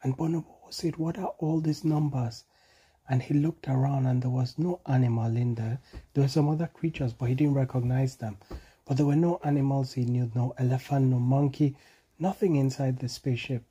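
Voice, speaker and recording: Male bedtime storyteller, fatherly role, extremely soft-edged dark tone, slightly rough, simple repetitive delivery. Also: medium pitch, steady tone, a little fast. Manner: simple repetitive phrasing, prompts the child with questions. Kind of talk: improvised children's storytelling.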